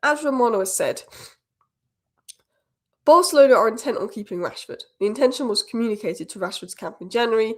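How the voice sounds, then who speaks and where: A woman hosting a football news roundup, a little croaky from a cold, giving opinionated fan commentary. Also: on the high side, lively intonation, fairly quick, long pauses. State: has a cold, slightly croaky. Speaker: football channel host. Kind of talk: fast solo news roundup.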